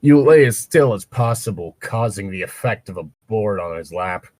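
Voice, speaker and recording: A man's voice: fairly low pitch, animated, normal speed, darkish tone, dry recording. A man reading fan fiction aloud casually and breaking in with sarcastic, crude wisecracks. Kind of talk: casual read-aloud with joking commentary.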